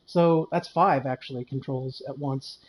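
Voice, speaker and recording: A man in his late fifties with a fairly high-pitched voice, chatting casually and poking fun at himself while he streams a racing game. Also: a little slow. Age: 50s. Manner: relaxed and chatty, answers chat, self-deprecating. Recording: loud game music behind the voice. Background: game music and car engines.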